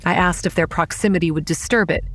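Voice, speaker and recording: Low-voiced young horror narrator. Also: female voice, steady tone, quick pace, a bit bright. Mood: grave. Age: young adult. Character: wry young woman campground manager narrating her horror tale. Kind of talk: scripted first-person horror narration. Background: music.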